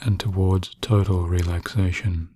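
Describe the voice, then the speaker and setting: A man narrating guided sleep hypnosis in a soothing, hushed manner with long pauses. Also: low voice, level tone.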